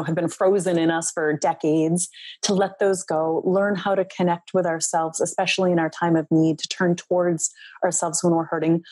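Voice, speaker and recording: Soft-spoken earnest woman, dark dry voice. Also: fairly low pitch, no echo. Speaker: guest, relationship coach. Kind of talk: heartfelt podcast interview.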